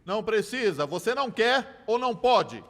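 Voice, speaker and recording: An actor dubbing a sharp, persistent lawyer who cross-examines a witness with measured, theatrical questions. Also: male voice, sits high, sing-song, big-room sound, heavily acted. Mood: probing. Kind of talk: dubbed film courtroom drama.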